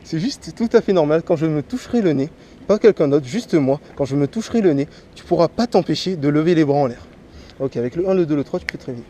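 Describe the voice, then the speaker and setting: A man from Martinique with a slight head cold, working as a street hypnotist. He gives a volunteer slow, repeated commands that drop soft and low. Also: animated. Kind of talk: stage hypnosis patter with a volunteer. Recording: noisy crowd around the mic. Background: chattering crowd.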